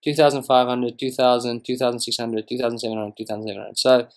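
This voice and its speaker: A young man whose first language is Afrikaans gives relaxed, rambling commentary over strategy game footage, with frequent ums and restarts. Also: medium pitch, fast talker. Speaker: gaming channel host. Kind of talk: casual gameplay commentary.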